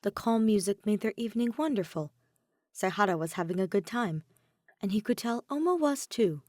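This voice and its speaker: A woman's voice: mid-range voice, lively intonation, moderate pace, darkish tone, dry recording, clean studio sound. A woman reading a piece of fan fiction as scripted story narration. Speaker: podfic narrator.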